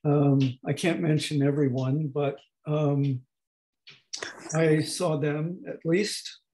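A man in his eighties lecturing slowly over a video call, with a hoarse, gravelly voice that is not fully distinct and that often pauses and restarts. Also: fairly high pitch, fairly even intonation, warm tone, a little hiss or hum, rough recording. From United States. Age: 80s. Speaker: professor emeritus giving a talk. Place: home room at a desk. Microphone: webcam on a video call.

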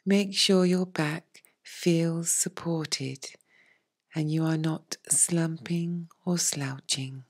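A woman leading a guided meditation as a teacher, in a soft, breathy, hushed and soothing voice with long pauses. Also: deep voice, fairly even intonation. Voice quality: soft, breathy, near-whispered. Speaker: yoga nidra meditation teacher.

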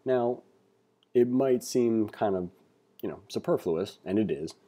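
A man giving a read-along tutorial as an instructor, reading aloud and adding informal asides as he thinks out loud. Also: on the low side, animated, a little slow. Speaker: programming instructor. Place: indoors, screen-recording voice-over.

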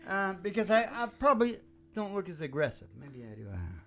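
A man's voice: high voice, wildly swooping pitch, fast, fairly bright tone. An older man hosting a live radio show, bantering in a casual, rambling, playful folksy way into a stand microphone. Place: small indoor stage at a live show.

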